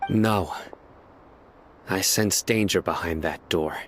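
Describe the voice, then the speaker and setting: A stern young judge in a game, his voice low-pitched and his lines clipped and unyielding. He speaks curtly and firmly. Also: slow, slight background noise. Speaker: video game character. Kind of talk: game cutscene voice acting.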